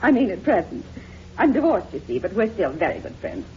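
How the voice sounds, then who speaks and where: A woman's voice: medium pitch, very animated, fairly quick, lightly acted. An actress playing a flighty, self-satisfied divorced society woman who gushes and rambles theatrically in a 1950s radio drama. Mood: chatty, delighted. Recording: dull, noisy vintage radio recording.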